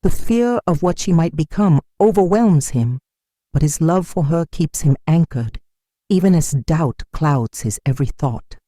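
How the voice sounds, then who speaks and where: Folktale narrator, mid-pitched and mellow, solemn dramatic read, very dry recording. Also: animated, measured pace, no echo. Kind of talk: dramatic scripted storytelling narration.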